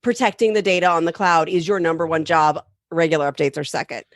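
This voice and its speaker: A woman's voice: on the low side, steady tone, fast talker, no echo, clean studio sound. A woman chatting casually as a podcast guest, candid and blunt. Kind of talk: casual chat.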